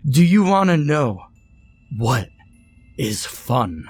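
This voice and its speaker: A man doing a deep flat godlike old being, mocking, slow, dark husky tone. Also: dry recording, faint music behind, exaggerated voice. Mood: maniacal. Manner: turns maniacal and wicked. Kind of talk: storytelling with acted dialogue.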